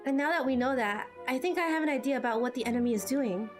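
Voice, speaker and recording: A woman's voice: high voice, fast talker, soft background music, lightly acted. A woman voicing a composed, analytical young female trainee fighter, thoughtful and explanatory, in amateur game dubbing.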